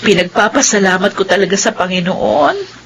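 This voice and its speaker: A woman's voice: mid-range voice, expressive, moderate pace, gravelly, slight background noise. A woman playing a caring, long-suffering, devout middle-aged mother in a radio drama, with tender emotion.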